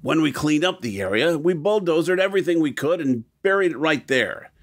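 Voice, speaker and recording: A man's voice: on the high side, animated, brisk, no echo, clean studio sound. A man playing a practical, level-headed planner in an audio drama, speaking matter-of-factly.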